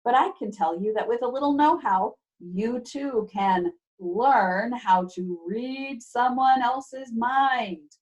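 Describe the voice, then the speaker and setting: Female teacher, slightly high voice, very unhurried delivery; expressive storybook read-aloud with playful emphasis and chatty asides to pupils. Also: poor audio. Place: remote video class.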